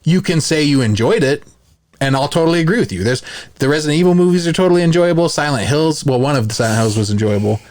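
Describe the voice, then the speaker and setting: A man's voice: fairly high pitch, expressive, fast talker, a little mellow. A man co-hosting a podcast, giving his opinions in a casual, chatty style. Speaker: podcast co-host.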